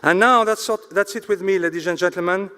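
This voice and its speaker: A man's voice: high voice, a little fast, bright, forceful tone, clear, resonant tone. A man hosting a formal event, introducing and thanking speakers with effusive, ornate courtesy. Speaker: master of ceremonies.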